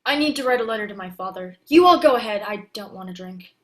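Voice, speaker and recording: A woman's voice: medium pitch, expressive, quick pace, natural voice. An actress playing a passionate, guarded young woman who secretly lives disguised as a male actor, in a theatrical audio play monologue.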